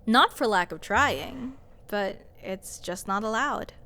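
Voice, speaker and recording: A woman's voice: medium pitch, animated, takes it slowly, bright, forceful tone, a little hiss or hum. A woman playing a snarky, exasperated sorceress in a fantasy audio roleplay, talking to the listener in a sarcastic, emphatic, conversational way. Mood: scoffing, nitpicking. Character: snarky young sorceress, exasperated, scoffing, nitpicking adventurer. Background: fantasy dungeon ambience.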